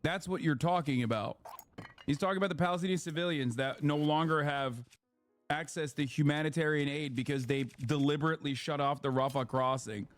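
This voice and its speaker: A man's voice: a little high, brisk, soft background music. A man, a streamer giving blunt, profane, mocking reaction commentary. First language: Turkish.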